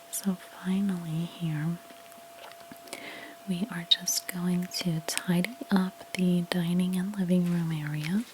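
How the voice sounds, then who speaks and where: A woman speaking in a soft, breathy near-whisper, gently explaining each step in an ASMR roleplay narration. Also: on the low side, a little hiss or hum, low-quality recording. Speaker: ASMR creator playing a house cleaner.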